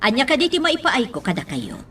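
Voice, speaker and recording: An actress playing a polite, accommodating town official in a radio drama. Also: female voice, sits high, huge pitch swings, fairly quick, bright, forceful tone, a little hiss or hum, full character voice. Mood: welcoming.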